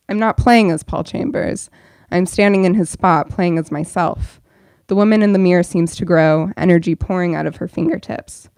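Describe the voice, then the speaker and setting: A woman's voice: on the low side, a little mellow, no room sound, studio quality. A young woman, a bookstore events director, welcoming an audience and then reading her own fiction aloud at a store event.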